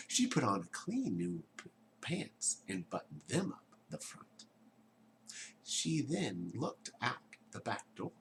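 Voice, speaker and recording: A man reading a children's story aloud in a theatrical way, dropping into hushed tones and chatting to his young listeners. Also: medium pitch, slight background noise, rough recording.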